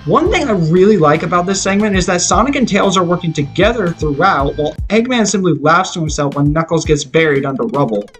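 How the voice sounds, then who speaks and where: A man's voice: sits high, lively intonation, a little fast, few pauses. A man reading a scripted film review in an opinionated, explanatory way. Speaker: video reviewer. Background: background music.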